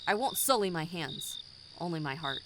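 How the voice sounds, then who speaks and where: A woman playing a bitter, vengeful rebel commander in an audio drama, speaking with formal, deliberate menace. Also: on the low side, animated, noisy background, natural voice. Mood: cold, threatening.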